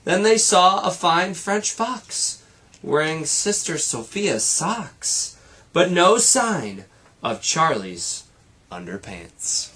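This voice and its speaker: A man's voice: a little high, very animated, slow pace, pushed and bright, slightly rough. A man reading a children's picture book aloud like a teacher, with rhythmic, dramatic delivery and playful asides.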